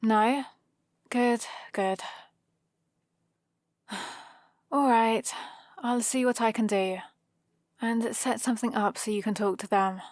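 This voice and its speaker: A British woman playing a proud, scheming supervillain with a soft spot, in a teasing, intimate ASMR roleplay. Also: on the high side, fairly even intonation, slow pace, pauses often, dry recording, clean studio sound. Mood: cautious, accommodating. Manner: teasing, sardonic, casually conversational. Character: proud scheming female supervillain, gruffly caring for a rescued hero.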